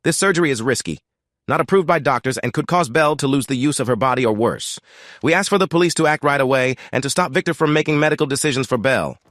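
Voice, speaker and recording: A man doing an urgent, forceful female lawyer. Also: medium pitch, fast, dry recording, clean studio sound, pitched above the performer's own voice, close to an everyday voice.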